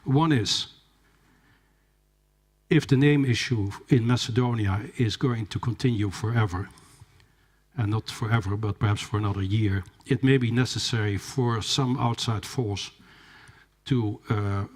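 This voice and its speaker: A man giving a formal conference talk, measured and hesitant, with fillers and restarts. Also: lowish voice, fairly even intonation. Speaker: conference speaker. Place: conference hall.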